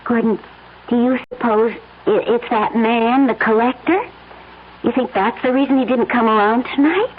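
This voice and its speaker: An actress in an old-time radio drama playing an affectionate, curious wife who asks gentle, concerned questions. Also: female voice, a little high, normal speed, close to an everyday voice. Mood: puzzled. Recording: tinny, faintly noisy old radio broadcast.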